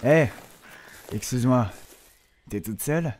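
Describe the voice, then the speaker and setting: A man's voice: mid-range voice, a little slow, darkish tone, natural voice. A man playing a pushy, falsely friendly young student thief in an amateur fantasy audio drama, informal and insinuating.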